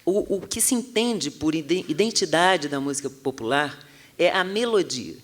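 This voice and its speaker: A Brazilian man explains in a didactic, analytical way as an interviewed expert. His voice sits a little high for a man. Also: lively intonation, moderate pace, a bit bright.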